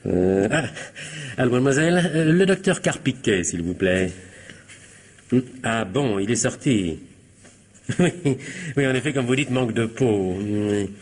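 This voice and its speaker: A man playing a nervous, guilty husband and son in a radio comedy-mystery, obsequious, stammering and quick to protest. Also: mid-range voice, animated, darkish tone. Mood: anxiously polite. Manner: flustered, stammering, over-eager denials. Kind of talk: theatrical French radio comedy-mystery acting.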